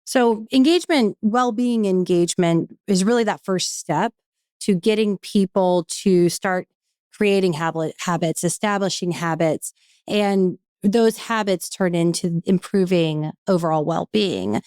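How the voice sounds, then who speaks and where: A woman guest on a podcast, explaining her work in a composed, clear way. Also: on the low side, unhurried, darkish tone, no echo, studio quality. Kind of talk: casual podcast interview.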